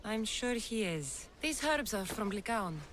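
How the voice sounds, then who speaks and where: A tough mercenary from an ancient-world game. She is dry and self-assured, with lots of movement in her pitch. Faint ambience sits behind the voice. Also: lively intonation, a little slow, fairly bright tone, slight background noise. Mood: curious. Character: tough, wry female mercenary in ancient Greece. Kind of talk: video game cutscene acting.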